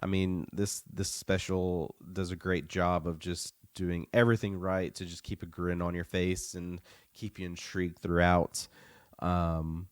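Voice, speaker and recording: A man co-hosting a podcast while getting over the flu, giving a measured review with frequent pauses. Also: low-pitched, flat delivery, clear, resonant tone, dry recording, studio quality. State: recovering from the flu. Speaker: podcast co-host. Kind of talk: casual review chat.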